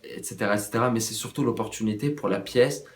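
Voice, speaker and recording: A young man, a theatre director, earnestly pitching his company's project in an interview. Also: fast talker, noisy background. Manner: earnest, persuasive. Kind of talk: promotional interview. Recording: echoey room.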